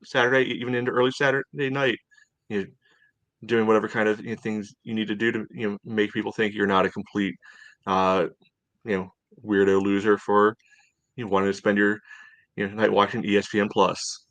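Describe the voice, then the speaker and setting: Low-voiced man hosting, halting and meandering. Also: little pitch movement, a bit bright, dry recording. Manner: halting, meandering delivery with frequent "you know". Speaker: podcast host. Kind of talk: casual sports podcast chat.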